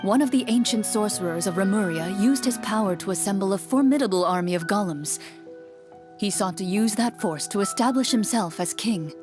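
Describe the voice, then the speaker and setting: A composed young swordswoman, acted by a woman, with a mid-pitched voice and formal, measured delivery. She explains things matter-of-factly as a game master in a video game, with music clearly behind her. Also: darkish tone, natural voice. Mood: composed, explanatory.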